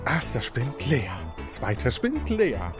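A man's voice: mid-range voice, huge pitch swings, slow, over music. A man hosting as game master, narrating an interactive detective game in a conversational, improvised manner.

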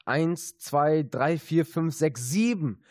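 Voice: medium pitch, takes it slowly, a little mellow, no echo, clean studio sound. A young-sounding performer playing a cheerful, boastful little tailor in a children's fairy-tale audio play, theatrical and self-satisfied.

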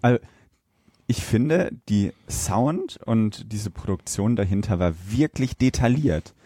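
A man's voice: lowish voice, animated, slow pace, dark tone, no room sound. A man co-hosting a podcast, chatting informally and sharing his opinions. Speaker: podcast co-host. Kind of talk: casual podcast discussion.

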